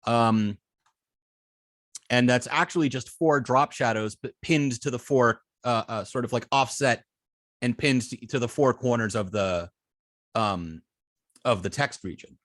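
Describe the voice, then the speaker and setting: A man with a mid-pitched voice teaches web development through a live demonstration. He speaks informally and haltingly, thinking aloud between steps. The sound is dry, with no room echo. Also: a bit bright. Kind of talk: live coding demonstration, explaining step by step.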